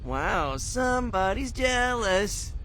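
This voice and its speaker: Animated, cocky teenage boy hero. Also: high-pitched, slow, slight background noise, exaggerated voice. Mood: teasing. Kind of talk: animated video game acting. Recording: music behind the voice.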